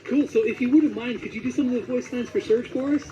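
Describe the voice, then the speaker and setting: A man's voice: very high voice, fast talker, warm tone. A man interviewing a guest in a casual, chatty style. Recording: dull audio with faint noise.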